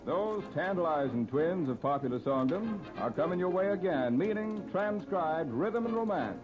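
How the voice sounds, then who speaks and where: Dull 1930s radio recording, higher-voiced American announcer. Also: male voice, expressive, moderate pace, over loud music. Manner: formal, polished scripted delivery. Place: radio studio.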